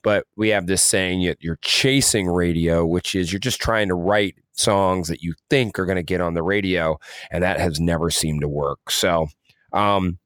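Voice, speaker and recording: Low-voiced man chatting on a podcast. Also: fairly even intonation, moderate pace, fairly bright tone, clean tone, no room sound, clean studio sound. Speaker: podcast co-host, music artist manager. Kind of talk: casual podcast discussion.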